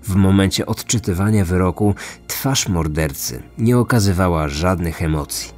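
A man's voice: sits low, moderate pace, a little mellow. A man narrating a scripted true-crime podcast. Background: faint music.

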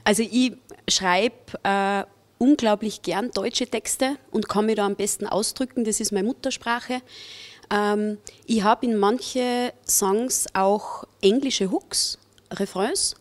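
A young Austrian woman, a singer and bandleader, talks through interview questions in a friendly, chatty way, pausing on small fillers as she goes. Her voice sits in a middle range and is picked up on a handheld mic in a stone-walled music venue. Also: medium pitch. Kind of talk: casual interview answers.

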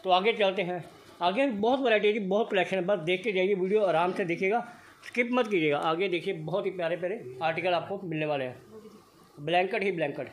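A man's voice: high-pitched, quick pace, slight background noise, low-quality recording. A man filming a shop walkthrough on his phone, praising the goods in upbeat, repetitive sales patter. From Punjab, India. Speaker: shop video vlogger. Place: indoor blanket shop.

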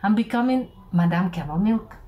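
Woman presenting her research, halting. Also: mid-range voice, animated, far from the mic, dull audio. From Kazakhstan. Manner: careful, halting, many "uh" pauses. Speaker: visiting researcher. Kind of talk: prepared self-presentation. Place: home room with bookshelves, indoors.

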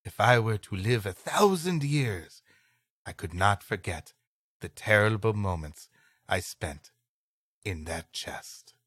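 A man's voice: fairly low pitch, animated, slow, a bit bright, slightly rough, dry recording, studio quality. A man co-hosting a comedy podcast, bantering and reading stories. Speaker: podcast co-host.

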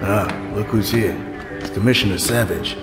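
A man's voice: lowish voice, normal speed, lightly acted. A man playing a matter-of-fact police detective in an audio drama, reporting facts in clipped, informal phrases. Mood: uneasy, matter-of-fact. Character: deferential, matter-of-fact male police detective at crime scene. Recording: echoey hall reverb, music behind.

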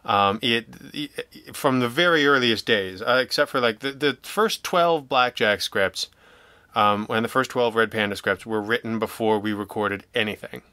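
A man talking casually as an interview guest, in a rambling, halting way, emphatic in his opinions. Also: mid-range voice, bright, forceful tone, no echo. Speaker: podcast guest, audio drama writer and producer.

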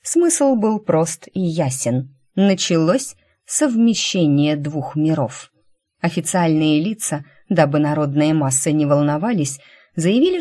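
A woman narrates a fantasy audiobook in a low-pitched voice. Her tone is mellow and dark rather than bright, and she reads as a storyteller working from a written text. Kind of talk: scripted narration of a fantasy novel.

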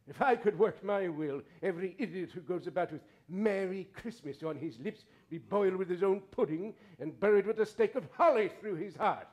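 A man's voice: high voice, a little mellow. A man playing a scornful, snappish old miser in a live staged radio drama, grumbling with contempt. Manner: grumbling, contemptuous, with mocking laughs. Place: theatre stage, live performance.

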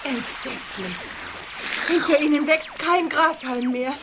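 A woman playing an anxious, excitable young heroine in a German audio drama, with dramatic exclamations. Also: high-pitched, slow pace, noisy background. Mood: alarmed.

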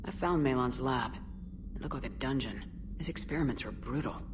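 An actress playing a steady, direct and compassionate military commander in a video game, composed and straightforward. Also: female voice, very deep voice, fairly quick, noisy background. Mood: sober.

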